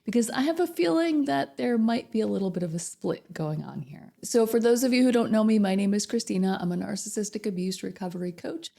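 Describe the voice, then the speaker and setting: A woman coach with a slightly hoarse voice from a cold, chatting directly to viewers about relationships and asking them questions. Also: mid-range voice, a little fast, hardly any pauses, dark tone. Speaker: narcissistic abuse recovery coach. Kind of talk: casual direct-to-camera advice talk.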